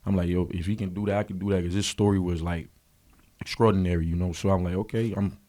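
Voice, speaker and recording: Interview guest: man, 30s, from Liberia, lowish voice, relaxed halting delivery. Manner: laid-back, halting, repeats words. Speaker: rapper guest. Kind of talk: casual interview chat.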